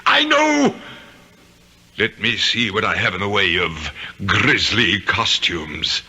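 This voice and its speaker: An actor playing a pompous, indignant centuries-old aristocratic ghost, declaiming floridly in a 1970s radio drama. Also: male voice, fairly low pitch, sing-song, slow, sharp tone, heavily acted. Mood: grandly scheming. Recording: raspy-edged 1970s radio broadcast.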